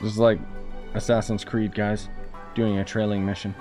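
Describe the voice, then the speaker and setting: A man's voice: on the low side, level tone, normal speed. A man giving casual, slangy live game commentary as a streamer, opinionated and rambling. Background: faint game music.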